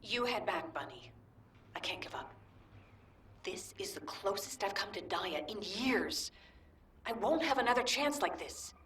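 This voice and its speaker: Resolute soldier, distant and echoey. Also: female voice, mid-range voice, lively intonation, a little slow, long pauses, fairly bright tone, a little hiss or hum. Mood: strained, stubborn. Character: determined, resolute woman soldier on a personal search. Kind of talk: video game voice acting.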